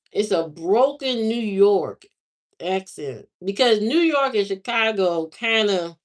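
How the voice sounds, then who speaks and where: A woman hosting a livestream, chatting casually with viewers in a slow, drawling, musing way. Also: mid-range voice. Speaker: livestream host. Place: lives in Indiana, not from there.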